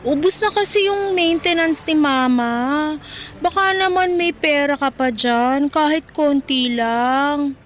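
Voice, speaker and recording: A woman's voice: high voice, slow pace, slight background noise. A woman playing a brash, manipulative young girlfriend in a radio drama, with a pushy, dramatic manner. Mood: wheedling. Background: faint background music.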